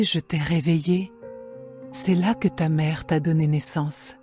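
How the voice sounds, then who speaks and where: Slow, tender young woman in love voiced by a woman. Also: on the low side, dark tone. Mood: solemn, devoted. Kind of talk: dramatised scripture reading.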